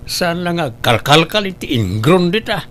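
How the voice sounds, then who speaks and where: A man's voice: on the high side, sing-song, moderate pace, fairly bright tone. A man with a Filipino accent playing a stern, nagging middle-aged father and uncle in a comedy drama, scolding and questioning. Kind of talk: amateur comedy drama acting.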